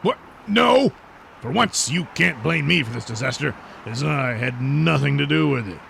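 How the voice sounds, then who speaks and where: A man voicing an exasperated, easily outraged superhero with a sputtering, shouting manner in a comic audio drama. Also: fairly high pitch, expressive, normal speed, gravelly, noisy background. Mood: indignant.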